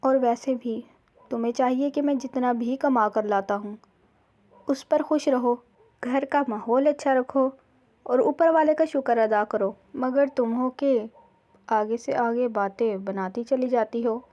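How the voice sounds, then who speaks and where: A woman's voice: on the high side, measured pace, mellow, close to an everyday voice. A woman voicing a poor, principled village husband, earnest in manner, in a cartoon storybook tale. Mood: admonishing. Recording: slightly dull, lo-fi sound.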